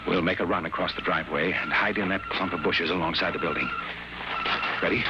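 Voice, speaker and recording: An actor playing a bold, inquisitive man in a 1950s radio drama, brisk, probing and persuasive. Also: deep voice, steady tone, lightly acted. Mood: urgent, guarded. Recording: noisy, muffled vintage radio recording.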